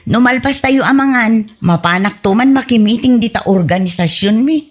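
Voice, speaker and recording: A woman's voice: fairly high pitch, moderate pace, muffled recording, soft background music, lightly acted. A woman playing a modest, chatty village wife who exclaims conversationally in a comedy radio drama.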